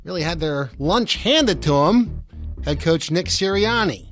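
A man's voice: high voice, animated, a little slow, a bit bright, soft background music. A man hosting a radio show, giving sports and weather updates in a conversational way. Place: radio studio.

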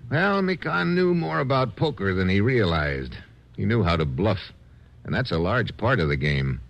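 An actor playing a tough, unflappable American adventurer in a 1950s radio drama, with a wisecracking, hardboiled delivery. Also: male voice, on the low side, big pitch swings, moderate pace, vocal fry, a bit bright, lo-fi sound, slight background noise, exaggerated voice. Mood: relaxed, wry. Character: tough, wry, unflappable American adventurer hero narrating his exploits. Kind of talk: 1950s radio drama acting and first-person narration.

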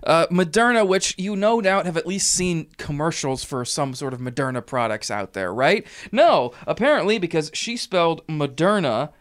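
A man with a clear, slightly high voice, co-hosting a relaxed news-chat show. He laughs often and picks up his partner's sentences. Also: normal speed, few pauses. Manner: laughs along, finishes his partner's lines. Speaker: co-host. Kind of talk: casual banter about odd news.

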